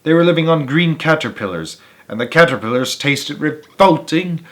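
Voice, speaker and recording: A man's voice: fairly high pitch, expressive, normal speed, fairly bright tone, pitched above the performer's own voice. Eccentric chocolate factory boss for a storybook, played by a male reader: boastful, gushing, exclamatory. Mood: dramatic, emphatic. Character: excitable, boastful chocolate factory owner, eccentric grown man. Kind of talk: storybook character voice.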